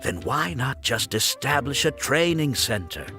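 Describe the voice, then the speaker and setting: An actor playing a smug, scheming architect in a video game, speaking in a condescending, self-justifying way. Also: male voice, mid-range voice, sing-song, unhurried, sharp tone, full character voice. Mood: smug, persuasive. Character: smug, scheming male architect running a scam academy. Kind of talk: theatrical video game voice acting. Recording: game audio with background music.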